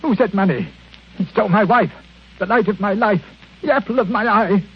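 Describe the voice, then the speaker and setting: A man's voice: high-pitched, fairly quick, breathy edge. An actor playing a miserly, self-pitying old man, whining and blustering in 1940s radio drama style. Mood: aggrieved, wailing. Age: older. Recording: dull, noisy 1940s radio recording.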